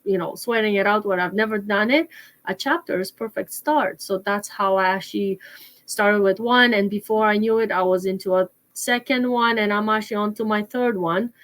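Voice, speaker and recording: A woman's voice: medium pitch, moderate pace, a bit bright, low-quality recording. A middle-aged woman from Poland, an author answering interview questions with many fillers and restarts. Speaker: author and guest.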